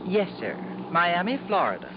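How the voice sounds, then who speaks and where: Muffled old-radio stewardess. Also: female voice, fairly low pitch, big pitch swings, moderate pace. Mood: polite, apologetic. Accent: American. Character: polite, apologetic female airline stewardess. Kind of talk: 1950s American radio drama acting. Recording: muffled old radio with loud engine noise.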